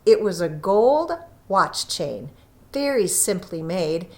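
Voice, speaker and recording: A woman reading a story aloud as scripted narration, with dramatic emphasis and drawn-out phrases. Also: lowish voice, big pitch swings, darkish tone. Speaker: audiobook reader.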